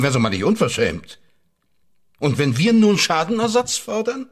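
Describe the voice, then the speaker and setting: A man's voice: sits high, fairly bright tone, slightly rough, heavily acted. An actor playing a hard-nosed, condescending newspaper publisher who threatens his employee in a German radio drama. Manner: condescending, domineering.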